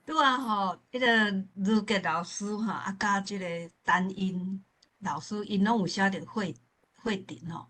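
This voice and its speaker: Chatty Taiwanese woman, medium pitch. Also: a little slow, a bit bright. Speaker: forum participant. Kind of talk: casual anecdotal sharing.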